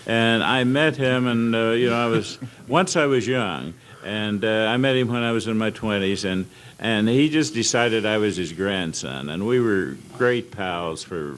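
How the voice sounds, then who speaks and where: Halting older American man, noisy recording. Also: fairly low pitch, a bit bright. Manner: halting, rambling, hesitant anecdote telling. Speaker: panel guest at old-time radio convention. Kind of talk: informal panel storytelling, reminiscing. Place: indoor convention panel room. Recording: clearly noisy convention room recording.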